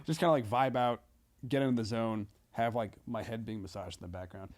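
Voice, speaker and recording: A man with a medium-pitched voice chats casually as a podcast guest. He talks in a halting, self-interrupting way, circling back on his phrases with a comedian's loose, joking informality. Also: a little mellow.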